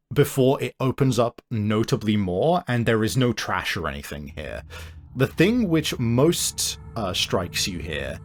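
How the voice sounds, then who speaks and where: A man narrating a tabletop roleplaying game as game master, improvising and often searching for his words, with music behind him. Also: lowish voice.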